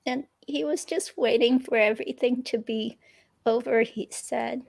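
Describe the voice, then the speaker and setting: A woman's voice: a little high, steady tone, measured pace, creaky, a little mellow. A woman reading the part of a chatty, curious ex-girlfriend in a police interview, in casual, hesitant phrasing. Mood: casual, explaining.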